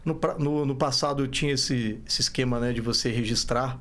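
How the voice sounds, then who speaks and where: A man's voice: mid-range voice, fairly even intonation, moderate pace, a bit bright. Rambling Brazilian man with a mineiro accent. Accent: Brazilian Portuguese, Minas Gerais. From Brazil, born in Rio, raised in Belo Horizonte. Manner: rambling, self-correcting, frequent "né?". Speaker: startup founder, guest. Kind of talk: casual podcast interview.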